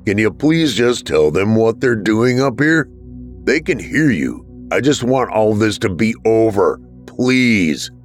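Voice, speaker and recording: A man voices a worn-out father in an audiobook, exasperated and short-tempered. His voice is fairly low and expressive, with music behind. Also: slow. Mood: weary, pleading.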